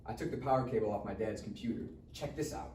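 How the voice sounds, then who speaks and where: A man's voice: fairly low pitch, quick pace, dark tone, natural voice. A man playing a spoiled, sardonic, reluctant teenage boy with crude slangy talk in a live comedy table read. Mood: snarky. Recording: roomy, distant mic, faint noise.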